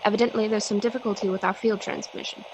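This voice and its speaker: A woman's voice: mid-range voice, steady tone, fast, lo-fi sound, close to an everyday voice. A woman playing a composed, formal studio announcer in a radio play. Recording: clearly noisy background.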